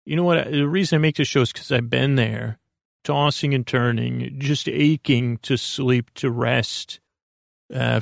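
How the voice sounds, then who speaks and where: A man with a creaky voice hosting a sleep podcast in a meandering, halting monologue, often interrupting himself. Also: mid-range voice, no echo, studio quality.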